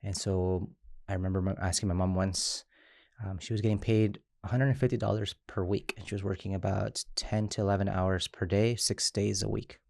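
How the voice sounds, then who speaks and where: A man in his thirties from Mexico, an immigrant rights organizer speaking in an interview, halting with frequent restarts. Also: low voice, flat delivery, creaky, darkish tone, dry recording, clean studio sound. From Chiapas, Mexico. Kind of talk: interview conversation.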